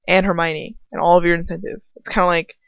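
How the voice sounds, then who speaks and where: A woman's voice: fairly low pitch, flat delivery, fast, clear, resonant tone. A woman talking casually in a rambling, opinionated, slangy way, with some swearing, as she comments on a story she is reading aloud. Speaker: amateur fan fiction reader. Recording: roomy, slightly dull home recording.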